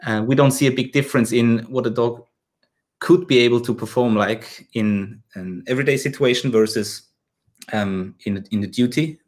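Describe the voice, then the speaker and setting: Man, mid-range pitch, German accent, native German speaker, hesitant, word-searching, repeated sentence starts, casual podcast host. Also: moderate pace, a little mellow. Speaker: podcast host, dog trainer. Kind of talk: casual interview.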